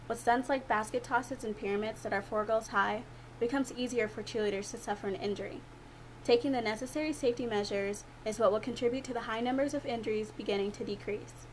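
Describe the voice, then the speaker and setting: A woman's voice: fairly high pitch, slight background noise, poor audio. A young woman delivering a rehearsed informative speech as a student, in a recited, emphatic manner. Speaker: student speaker.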